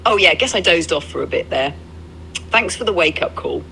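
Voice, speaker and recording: A woman chatting casually as a supportive, upbeat co-driver companion, with a slightly creaky voice. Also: sits low, animated, normal speed, bright, forceful tone, slight background noise, low-quality recording. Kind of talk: casual encouraging chat.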